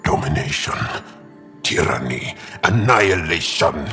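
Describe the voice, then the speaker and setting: Deep, brooding alien warrior. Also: male voice, expressive, pushed and bright, long reverb tail, soft background music, full character voice. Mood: bitter. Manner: intense, halting, weighty. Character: big, brooding, embittered male alien resistance warrior. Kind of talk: dramatic video game acting.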